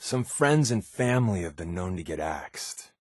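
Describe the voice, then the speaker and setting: An actor playing a wry, guarded undercover resistance agent in a video game, conversational and confiding, with a slightly husky voice. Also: male voice, low-pitched, big pitch swings, normal speed, darkish tone, no room sound, studio quality. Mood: earnest, measured. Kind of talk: naturalistic video game companion dialogue.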